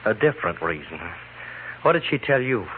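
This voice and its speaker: Through a narrow, slightly noisy old radio recording comes a lowish male voice: a jealous husband on the run, bitter and tormented. It is played as 1950s western radio drama, wounded and intense. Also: sing-song, moderate pace. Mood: bitter, resigned.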